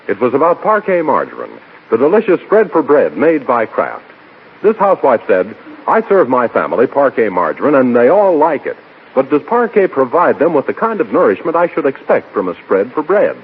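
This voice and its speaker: A man's voice: medium pitch, slightly rough. A man with an American accent reading a commercial as a 1940s radio announcer. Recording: tinny 1940s radio broadcast.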